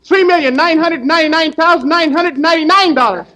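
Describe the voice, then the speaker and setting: Brief: a man as a resigned young man handing over money, high voice, dry delivery, old radio comedy. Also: quick pace, pushed and bright. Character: reluctant young male lender. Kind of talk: 1930s radio comedy acting.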